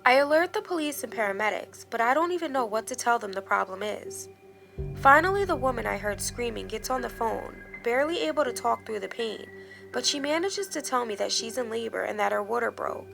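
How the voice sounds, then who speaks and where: A woman narrating a scripted dramatic first-person story in a measured, expressive storytelling manner. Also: on the high side, vocal fry. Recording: background music under the voice. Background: music.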